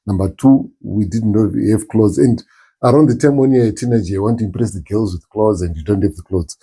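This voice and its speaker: Husky zimbabwean guy, middle-aged, talks slow and thoughtful in an interview. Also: fairly low pitch, warm tone. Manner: thoughtful, pauses with ums and restarts. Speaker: interview guest, musician. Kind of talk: relaxed interview talk.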